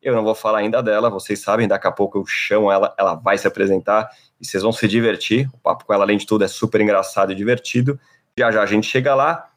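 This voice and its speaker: A man's voice: lowish voice, fairly even intonation, quick pace, fairly bright tone. A man with a Brazilian Portuguese accent hosting a podcast alone, upbeat and playful in a casual chat. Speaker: podcast host.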